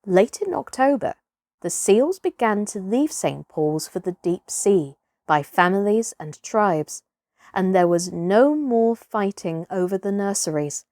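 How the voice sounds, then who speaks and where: A woman reading a children's storybook aloud as host, in an expressive, theatrical narrating style. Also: mid-range voice, slow, dry recording, clean studio sound.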